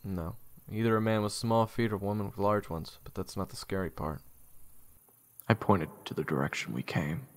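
A man playing a grim, watchful adventurer who narrates a fantasy audio drama gravely and deliberately. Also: fairly low pitch, steady tone, natural voice. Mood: grim, wary. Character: grim, watchful male fantasy adventurer telling his tale. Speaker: narrator and character. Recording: music playing behind. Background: background music.

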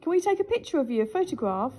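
A woman ghost hunter, coaxing a spirit in a dark church at night and repeating her questions. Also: sits high, sing-song, racing, a little hiss or hum. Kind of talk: calling out to a spirit.

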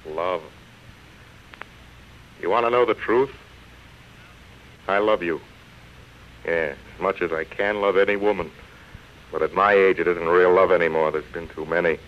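An actor playing a vain, bitter movie star of about forty in theatrical old-time radio drama style. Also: male voice, low voice, a little slow, long pauses. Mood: world-weary. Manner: theatrical, self-important. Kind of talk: 1930s-40s radio drama acting. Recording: muffled vintage radio broadcast.

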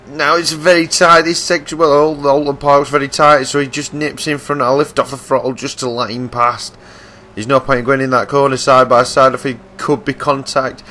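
A man giving chatty live commentary on a racing game, his voice croaky and hoarse as he loses it, often restarting his sentences. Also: on the high side, sharp tone.

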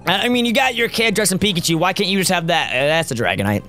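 Young man, raspy worn voice, excitable game commentary, close studio mic, faint music. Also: high-pitched, fast. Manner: excitable, cheers his team loudly. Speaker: gaming YouTuber. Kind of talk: Let's Play commentary. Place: home gaming setup, indoors. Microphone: studio mic close up, seen.

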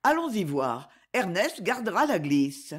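Lady reading as a chill know-it-all boy, steady and easy, storybook. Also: medium pitch, a little slow, breathy edge. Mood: matter-of-fact. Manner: calm, matter-of-fact. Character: calm boy who remarks on everything.

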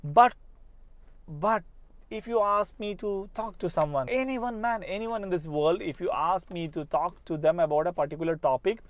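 High-voiced Malayali man talking in a car. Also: normal speed, dry recording, muffled recording. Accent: Malayali English. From Kerala, India. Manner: earnest, repeats and restarts phrases, addresses viewer directly. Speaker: spoken English coach. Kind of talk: casual motivational talk to camera. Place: inside a parked car. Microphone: lapel mic.

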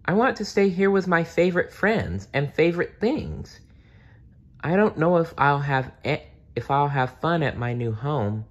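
A sad little farm girl of five, as a man puts her on, pleading in a high, tearful voice. She speaks slowly, in a husky tone. The recording sounds dull and lo-fi. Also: big pitch swings.

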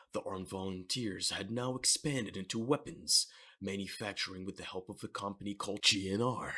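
Husky, measured manga narrator. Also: low-pitched, pushed and bright. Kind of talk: scripted narration of a manga audio drama.

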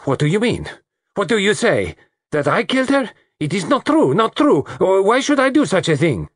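A man's voice: high voice, huge pitch swings, moderate pace, husky, dry recording, studio quality, voice pushed up, heavily acted. A man voicing a fiery, indignant woman from South America, spitting out words melodramatically in an audiobook.

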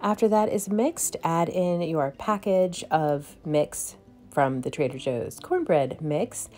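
A woman's voice: sits low, expressive, takes it slowly, darkish tone, soft background music. A woman in her thirties chatting casually in a home cooking vlog, walking through recipes step by step. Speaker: vlogger. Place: home kitchen, then a room with bookshelves.

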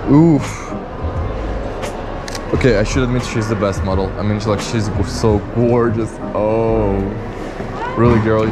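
A man vlogging a night photo walk, excitedly directing a model and remarking on the sights. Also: medium pitch. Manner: enthusiastic, exclaiming, directing a model. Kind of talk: casual POV photo walk chatter. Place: shopping arcade then city streets at night.

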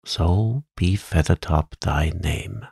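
A doting old witch as a man reads her, coaxing in quaint thee-and-thou speech with a sharp edge. The voice stays low and gravelly, at an unhurried pace. The sound is dry and close. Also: no room sound, full character voice. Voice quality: high, sharp tone. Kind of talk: audiobook character reading.

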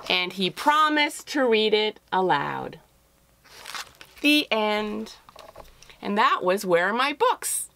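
Slow, expressive woman reading a storybook. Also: mid-range voice, vocal fry, slight background noise. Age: middle-aged. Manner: expressive, deliberate, with drawn-out phrases. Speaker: author reading her picture book aloud. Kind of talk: children's storybook read-aloud.

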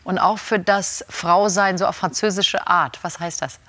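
A woman's voice: fairly low pitch, fairly even intonation, a little slow, fairly bright tone, dry recording. A woman in her fifties hosting a TV talk show, asking friendly questions and nodding along with frequent tag questions. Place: TV studio set.

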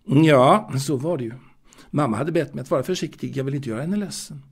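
A man's voice: a little high, creaky, husky. A man playing a proud, naive young confectionery seller reminiscing in an intimate audio drama monologue, hesitant and repeating his words. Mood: polite, guarded.

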